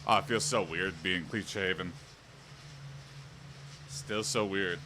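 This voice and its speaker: A man's voice: sits low, fairly even intonation, takes it slowly, background noise. A man hosting a casual livestream from a room at home, chatting and answering viewers while he exercises on a bike, his mic set a little way off. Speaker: livestream host. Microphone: standing mic a little way off.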